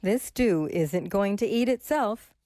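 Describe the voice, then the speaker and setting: A woman playing a gentle, doting farm mother in a radio drama, with a soft, nurturing manner. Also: medium pitch, lively intonation, slow, dry recording, clean studio sound. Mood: caring. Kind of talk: horror radio drama acting.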